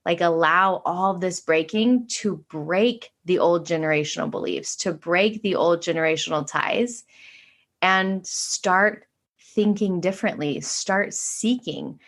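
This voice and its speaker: Earnest woman with a low voice. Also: a little slow, fairly bright tone. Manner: earnest, flowing, many "like" fillers. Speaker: podcast guest, spiritual teacher. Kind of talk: casual spiritual conversation.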